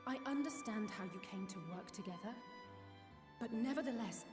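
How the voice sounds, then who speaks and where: A woman's voice: mid-range voice, moderate pace, lightly acted. An actress voicing a frank, wry and determined young woman adventurer in a video game. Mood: teasing, frank. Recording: reverberant hall with music behind.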